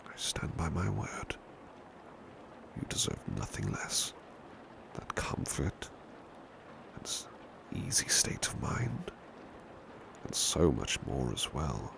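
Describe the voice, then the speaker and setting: Very deep, gravelly British butler, acted by a man. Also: slow, long pauses, in a whisper, full character voice, low-quality recording. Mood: reassuring, devoted. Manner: hushed, gentle, courteous, hesitant. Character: devoted, protective, politely hesitant big male butler. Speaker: ASMR roleplay performer. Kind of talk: intimate ASMR roleplay, soft murmuring. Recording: steady background noise, rain ambience. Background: rain ambience.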